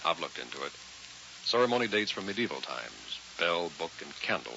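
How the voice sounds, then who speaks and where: An actor playing an uneasy minister in a vintage radio horror drama, speaking in a deliberate, brooding, hesitant way. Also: male voice, very deep voice, sing-song, creaky, bright, forceful tone, a little hiss or hum, heavily acted. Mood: earnest, guarded. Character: uneasy ordained minister narrating his own ghost story. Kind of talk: vintage radio horror drama, first-person narration and dialogue. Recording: dull vintage radio recording with music.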